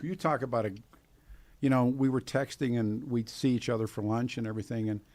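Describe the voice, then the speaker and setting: A man's voice: mid-range voice, steady tone, a little fast, darkish tone. A man in his eighties with an American accent hosting a live radio interview, chatting casually in an effusive, joking, rambling way. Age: 80s. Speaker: radio talk host.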